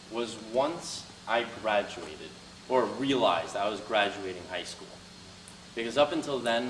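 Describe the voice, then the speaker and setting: A young man speaking to students as a guest speaker, earnest and motivational, answering questions. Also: medium pitch. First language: Albanian. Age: young adult. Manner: earnest, motivational, loud at the start. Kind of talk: talk to students with Q&A. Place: classroom. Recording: roomy, from a distance, faint noise.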